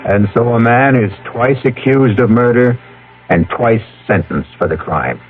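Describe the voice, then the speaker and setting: A man hosting and narrating a mystery radio drama in a slow, portentous, scripted style. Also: mid-range voice, close to an everyday voice. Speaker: host and narrator. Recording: muffled vintage radio broadcast. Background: faint music.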